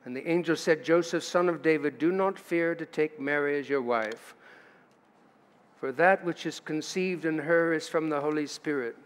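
Older pastor preaching in reverberant church. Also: male voice, fairly high pitch, normal speed. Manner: storytelling, reading scripture aloud with asides. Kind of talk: sermon. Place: church sanctuary at a lectern. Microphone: lectern mic. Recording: church livestream with long reverb.

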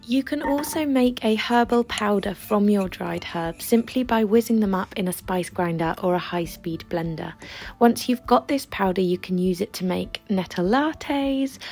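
A young woman from England, hosting a foraging and cooking video with bright, enthusiastic, gently playful how-to narration. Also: medium pitch, normal speed, vocal fry. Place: woodland outdoors, then wooden cabin kitchen.

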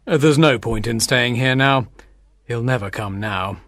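A woman's voice: a bass voice, a little slow, dry recording, clean studio sound, voice pushed down, full character voice. Woman acting anxious, offended older father. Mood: sorrowful. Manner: impatient, bitter.

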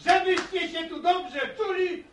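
A commanding village announcer in an old radio serial. He calls out in a high, slow voice from far off in an echoey hall. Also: steady tone, a bit bright, slight background noise. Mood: proclaiming. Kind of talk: Polish radio serial acting, public announcing.

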